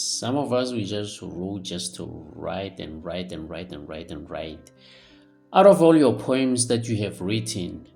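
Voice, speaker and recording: A man's voice: on the low side, gravelly, darkish tone. A man hosting a podcast interview, introducing his guest and putting questions to him. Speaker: podcast host.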